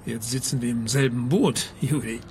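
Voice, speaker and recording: A man's voice: mid-range voice, moderate pace, mellow, a little hiss or hum, natural voice. An actor playing an anxious, cornered man in a German radio drama, with a hesitant way of speaking. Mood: wary, scheming. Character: anxious, cornered man, haplessly scheming, dream narrator. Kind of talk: German radio drama acting and first-person narration. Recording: music bed under the voice. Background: music.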